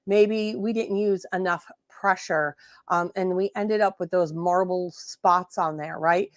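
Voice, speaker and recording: A hoarse woman hosting a casual livestream crafting tutorial, sassy and chatty, often restarting her sentences. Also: on the low side, steady tone, a little slow, no room sound, clean studio sound. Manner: sassy, chatty, self-correcting. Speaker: host.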